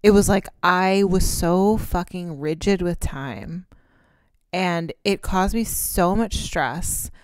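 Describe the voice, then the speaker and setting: A woman chats casually as a podcast co-host, candid and rambling, swearing now and then. Her voice sits a little low. She is close on the mic in a dry, dead-sounding space. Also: slow pace, clear, resonant tone, no echo. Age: 30s. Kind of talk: casual chat.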